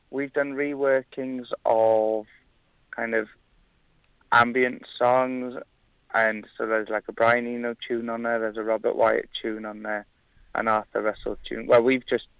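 A man's voice: mid-range voice, clear, resonant tone, dry recording, highs cut off. A man from Wales, a musician, chatting casually in an interview, hesitant with many fillers and restarts. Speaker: musician guest.

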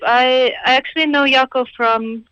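A woman's voice: high-pitched, fairly even intonation, moderate pace, bright, forceful tone, clean tone, no room sound, highs cut off. A woman from Croatia, a singer-songwriter answering interview questions in a hesitant, thoughtful way with small laughs. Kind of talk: radio interview guest.

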